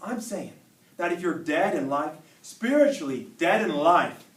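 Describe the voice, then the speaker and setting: A man giving a dramatic staged reading, part storytelling and part preaching, with deliberate, emphatic phrasing. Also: fairly high pitch, big pitch swings. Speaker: student performer reading a dramatic sermon. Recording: echoey hall, recorded from far off.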